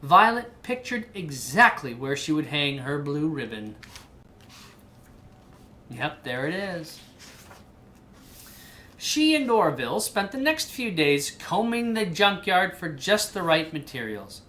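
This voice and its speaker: A woman reading a children's storybook aloud in an animated style. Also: deep voice, slow pace, long pauses. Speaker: storybook reader.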